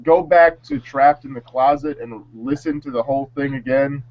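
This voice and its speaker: A man's voice: medium pitch, moderate pace. A man co-hosting a casual chat, giving opinions in informal, slangy talk. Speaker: co-host. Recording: slightly muffled sound.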